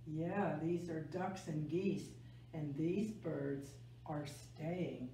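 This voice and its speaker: An older woman reading a picture book aloud to children in a gentle, deliberate way, stressing key words. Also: sits low. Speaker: library story time reader. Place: small indoor room, seated. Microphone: camera a few metres away. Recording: echoey room, distant mic, faint noise.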